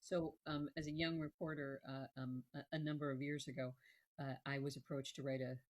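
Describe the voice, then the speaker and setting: A woman hosting a casual interview, effusive and halting, often repeating her phrases. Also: extremely low pitch, little pitch movement. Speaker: interviewer, host.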